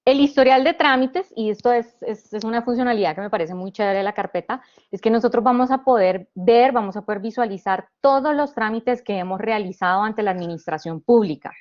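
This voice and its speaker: A woman's voice: fairly high pitch, brisk, hardly any pauses, lo-fi sound. Woman from Honduras explaining step by step. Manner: explains step by step, with frequent restarts and "eh". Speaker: panelist presenting a government digital service. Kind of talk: explanatory presentation.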